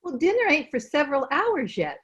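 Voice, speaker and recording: A woman's voice: high voice, huge pitch swings, a little fast, fairly bright tone, exaggerated voice. A woman playing a folksy, outspoken household cook in an old-time radio comedy, colloquial and plain-spoken.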